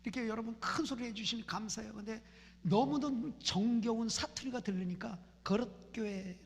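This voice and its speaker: A woman's voice: on the high side, moderate pace, slight background noise. A woman pastor from Seoul preaching a fervent sermon in a church, repeating phrases in rising prayer chants. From Seoul, South Korea. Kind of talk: impassioned sermon. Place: church sanctuary. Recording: reverberant church hall.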